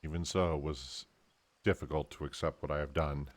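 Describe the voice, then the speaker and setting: A man voicing a deep, grave, guilt-ridden warrior. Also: flat delivery, a little fast. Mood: somber. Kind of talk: amateur dub of game dialogue.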